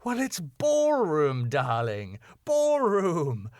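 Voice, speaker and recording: A man playing an excitable, flamboyant married ballroom club owner in a sitcom script reading. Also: on the high side, extremely slow, no echo, clean studio sound, heavily acted. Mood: excited, exasperated. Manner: flamboyant, exclamatory.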